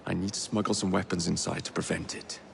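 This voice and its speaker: A man's voice: sits low, little pitch movement, fast, creaky, a bit bright, slight background noise, full character voice. An actor playing a brash, hot-tempered young English man with a sardonic, flippant manner in a video game cutscene. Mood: cocky. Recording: music behind the voice.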